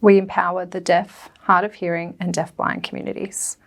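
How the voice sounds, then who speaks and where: A woman interpreting a prepared talk aloud, lower-pitched for a woman and gravelly. She speaks carefully in short phrases. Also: level tone, moderate pace. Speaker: sign language interpreter voicing a deaf speaker.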